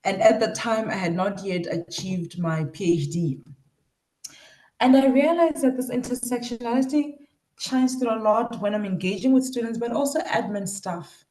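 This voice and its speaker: A woman's voice: fairly high pitch, moderate pace, warm tone. South African woman lecturer, echoey room. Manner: reflective, acts out quoted remarks. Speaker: university lecturer presenting research. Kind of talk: academic talk with personal anecdotes. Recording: roomy, echoing sound.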